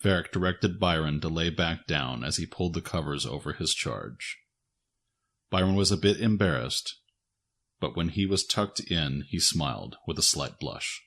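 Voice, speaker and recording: A man with a husky voice reading scripted fiction as a narrator. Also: extremely low pitch, lively intonation, moderate pace, fairly bright tone. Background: music.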